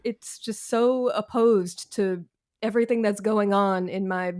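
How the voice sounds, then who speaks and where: A woman's voice: mid-range voice, fairly even intonation, a little slow, a little mellow. A woman speaking as an author in a conversational interview, with wry, colourful wording. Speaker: podcast guest, author.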